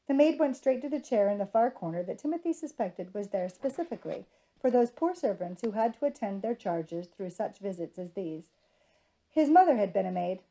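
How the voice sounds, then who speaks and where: A woman's voice: mid-range voice, mellow, rough recording. A woman reading scripted fiction narration from a storybook.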